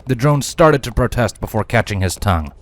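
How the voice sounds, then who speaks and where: A man reading scripted dramatic story narration in a solemn, deliberate manner. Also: mid-range voice, lively intonation, slight background noise.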